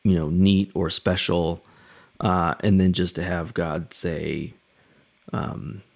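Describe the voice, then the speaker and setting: A man speaking as a pastor in a casual, halting and reflective devotional style, telling personal stories in a conversational way. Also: deep voice, fairly even intonation, gravelly.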